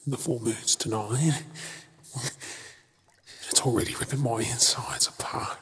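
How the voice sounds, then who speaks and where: Man playing a very raspy Australian captive werewolf. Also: mid-range voice, steady tone, measured pace, fairly bright tone. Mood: anguished, desperate. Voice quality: breathy, very raspy, often whispering. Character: exhausted, defiant captive werewolf boyfriend. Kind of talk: ASMR audio drama acting.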